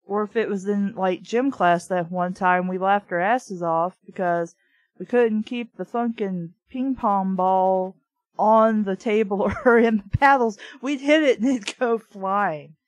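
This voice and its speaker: A woman's voice: medium pitch, measured pace, dry recording. A woman reading a book aloud casually, with blunt, sweary, opinionated off-the-cuff commentary. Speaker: reader and commentator of a children's book.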